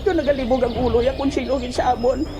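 A woman's voice: sits high, steady tone, quick pace, over music. A woman acting a frantic old man in a comic audio drama, exclaiming and talking through each action aloud. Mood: pleading.

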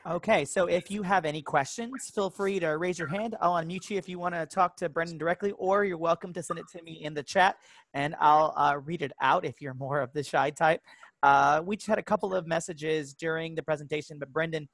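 A man's voice: on the high side, a little fast, fairly bright tone, no echo. A man casually moderating an online question and answer session over a video call.

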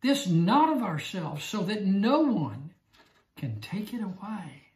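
An older man teaching a Bible study class, slow and emphatic, repeating his key phrases. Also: high-pitched, sing-song, roomy sound, slight background noise. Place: small room indoors. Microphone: lapel mic.

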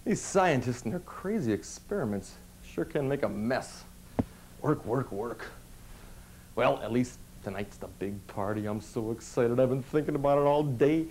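A man's voice: medium pitch, lively intonation, normal speed, a little mellow, natural voice. A man in his thirties playing a friendly janitor hosting a parody children's puppet show, chummy and coaxing. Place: small indoor puppet show set. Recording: noisy old videotape studio sound.

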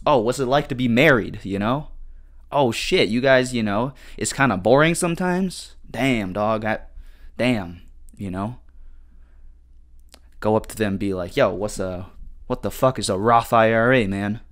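A man's voice: fairly low pitch, a bit bright. Rambling, slangy young man. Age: 20s. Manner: rambling, slangy, swears a lot, many restarts. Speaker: podcast host. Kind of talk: casual solo podcast monologue.

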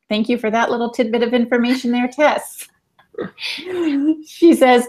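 A woman's voice: mid-range voice, a little mellow. A middle-aged woman casually recapping and reading out chat comments for a podcast. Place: room at home, indoors. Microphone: earbuds on video call.

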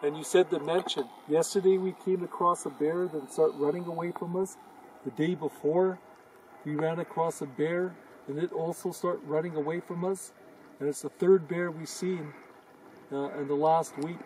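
A man's voice: a little high, steady tone, normal speed, darkish tone. An older man on a mountain hike, chatting casually and rambling with many fillers, filmed from a few steps away on a forest road. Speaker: hiker and backcountry explorer. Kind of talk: casual outdoor commentary. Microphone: camera held by his brother some distance away. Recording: distant outdoor voice with noise.

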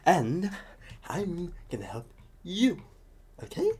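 A man playing a talkative, restless psychopath convict in an ASMR roleplay, protective and scheming, with halting, muttered asides. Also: high-pitched, sing-song, warm tone, slightly rough, heavily acted. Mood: insistent, protective.

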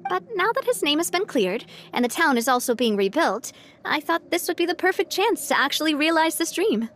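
An actress playing a warm, resolute young woman leader in a video game, wistful yet upbeat. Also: high voice, very animated, a little fast, slight background noise. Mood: earnest, modest. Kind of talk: video game character acting.

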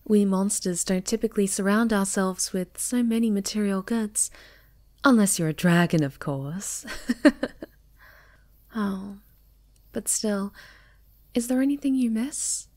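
A woman playing a sweet, affectionate, polite moth monster girl in an intimate ASMR roleplay, gentle and often dropping toward a whisper. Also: mid-range voice, a little slow, long pauses, natural voice. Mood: affectionate, playful. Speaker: voice actor in an audio roleplay.

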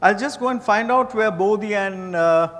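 Male actor as courteous Indian guest, stage drama, high voice, reverberant ballroom. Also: normal speed, fairly bright tone. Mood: genial. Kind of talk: dramatized stage reading of a play. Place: large hotel ballroom stage. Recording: echoey hall, stage from afar.

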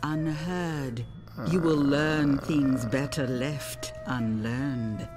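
Female voodoo fortune teller, very low, slow, portentous, music behind, game acting. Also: steady tone, full character voice. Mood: solemn, foreboding. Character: mysterious, solemn female fortune-telling voodoo priestess.

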